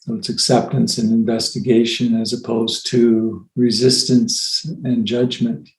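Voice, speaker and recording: A man guides a meditation in a flat, even voice at a very slow pace. He phrases things gently and leaves long pauses between instructions. The sound is roomy and echoey. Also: mid-range voice. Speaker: meditation teacher.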